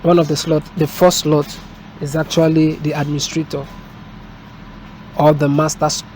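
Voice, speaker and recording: A man giving an unscripted hands-on installation tutorial as a technician, explaining step by step in a halting way. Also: fairly high pitch, little pitch movement, darkish tone. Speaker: technician presenting a product installation. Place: indoors at a desk, filmed from above. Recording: noisy room with street and vehicle sounds.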